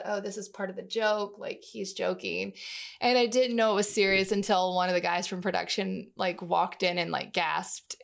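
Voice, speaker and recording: Woman, creaky voice with vocal fry, mid pitch, chatty, informal interview guest, casual storytelling. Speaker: interview guest, long-time company employee.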